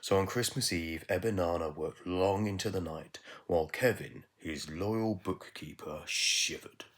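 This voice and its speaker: A man with a low voice reads a storybook aloud. He is measured and expressive, leaving dramatic pauses as he tells it. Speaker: teacher reading a bedtime story.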